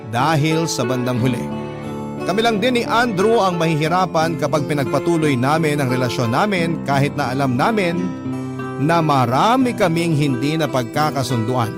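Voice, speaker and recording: A reflective Filipina narrator over loud guitar. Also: female voice, low-pitched. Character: young woman letter-sender reflectively narrating her love story. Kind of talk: scripted first-person radio drama narration. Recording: loud guitar music under voice.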